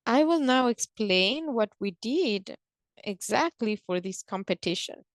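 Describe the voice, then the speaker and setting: Slow-paced woman giving a presentation. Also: on the high side, animated, dry recording, studio quality. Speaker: presenter on a conference call. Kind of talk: explanatory slide presentation, halting delivery.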